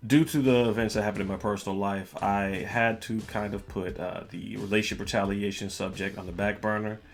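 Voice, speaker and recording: A man hosting a podcast, telling a personal story in a casual, measured way with music playing behind the voice. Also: fairly low pitch, steady tone. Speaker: podcast host. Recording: music bed under the voice. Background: background music.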